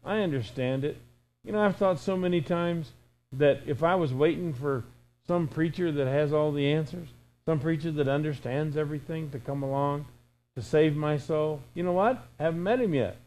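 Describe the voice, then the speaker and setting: A man's voice: a little high, moderate pace, darkish tone, soft background music, low-quality recording. A man in his sixties preaching an informal, conversational sermon in a folksy way, with emphatic repetition and imagined dialogue. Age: 60s.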